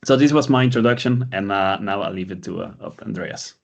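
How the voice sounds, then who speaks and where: A man's voice: on the low side, fairly quick, gravelly. A man hosting a recorded webinar, talking in a hesitant, conversational way with many fillers. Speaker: webinar host.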